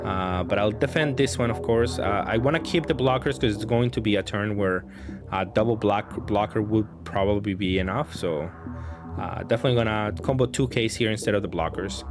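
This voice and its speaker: A man's voice: on the low side, a little fast. A man giving casual, improvised commentary on his own card game, thinking aloud hesitantly and often trailing off. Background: background music.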